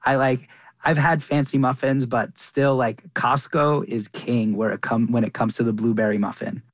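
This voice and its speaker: A young man speaking in a flat, level tone, recorded close and dry with no room sound. He is a chef who was raised in Qatar, answering interview questions and often stumbling over and repeating his words as he goes. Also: medium pitch, normal speed, no room sound. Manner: frequent restarts and repeated words. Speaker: chef and cookbook author. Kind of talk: conversational interview.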